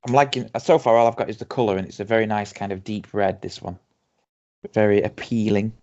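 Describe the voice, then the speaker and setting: A man's voice: lowish voice, steady tone, darkish tone. Yorkshire man bantering over whisky. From Yorkshire, England. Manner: reads tasting notes aloud slowly, banters. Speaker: podcast co-host. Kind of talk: casual tasting chat. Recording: dull lo-fi home podcast audio.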